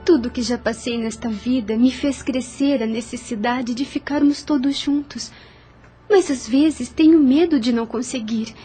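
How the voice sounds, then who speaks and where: A high, melodramatic young heroine of a period radio romance, acted by a woman, sighing and pleading with sorrow. Clear music plays behind her emotive lines. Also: moderate pace, mellow, close to an everyday voice. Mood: grieving, pleading. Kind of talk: audio novel acting.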